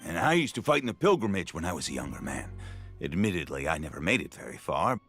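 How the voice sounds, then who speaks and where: Deep-voiced, humble older villager. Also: male voice, sing-song, fast, fairly bright tone, full character voice. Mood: humble resolve. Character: aging male villager, humble former fighter volunteering. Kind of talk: video game voice acting. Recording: game music behind the voice.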